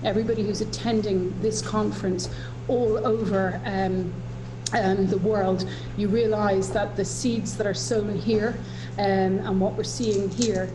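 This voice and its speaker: A woman in the chair's seat, feeling her way through her words with frequent pauses and repeats. Her voice sits in an ordinary middle register. A steady hiss of noise runs under it. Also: medium pitch. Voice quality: hesitant, many fillers and restarts. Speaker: conference chair. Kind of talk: opening remarks. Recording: noisy recording.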